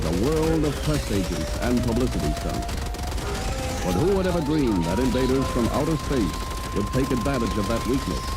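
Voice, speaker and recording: A male radio announcer from the 1950s with a medium-pitched voice. His delivery is scripted, theatrical and portentous, leaning on key words for drama. Loud music plays behind him on the old broadcast recording. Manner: theatrical, portentous, deliberate emphasis.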